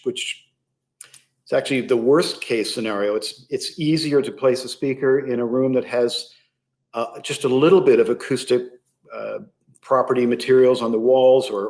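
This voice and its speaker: A man speaking as an interview guest, explaining a product in a measured way with pauses mid-sentence. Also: medium pitch, creaky, darkish tone. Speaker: interview guest, loudspeaker company sales executive.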